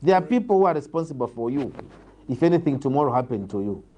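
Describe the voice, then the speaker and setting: A man with a Zambian accent answering political interview questions in a measured, formal, emphatic way. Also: medium pitch, very animated, warm tone, slight background noise. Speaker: political interviewee, party leader.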